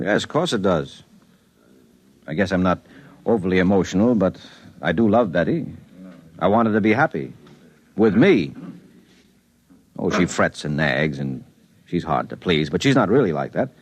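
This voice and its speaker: A man's voice: deep voice, very animated, normal speed, long pauses, husky, dry recording, exaggerated voice. A wry, worried everyman. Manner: plainspoken, wry, anxious. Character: worried ordinary married man, skeptical questioner. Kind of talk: 1950s radio drama acting.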